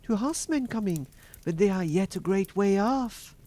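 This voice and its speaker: Man voicing a high-pitched, anxious young sister. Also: expressive, moderate pace, mellow. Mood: hopeful. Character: anxious young woman, sister keeping watch from a tower. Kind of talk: dramatic fairy-tale storybook reading.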